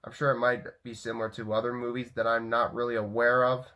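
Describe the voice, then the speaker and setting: A young man talks through a movie review while sick with a head cold, his throat sore. He rambles, restarting sentences and leaning on "so, uh" fillers. His pitch sits in an everyday middle range. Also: level tone, fast, pushed and bright, highs cut off. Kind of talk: casual vlog review.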